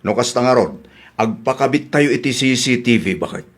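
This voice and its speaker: Casting: man as easygoing husband in radio comedy drama, average male pitch, conversational and questioning. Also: expressive, moderate pace, lightly acted. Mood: puzzled. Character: easygoing husband questioning his suspicious wife.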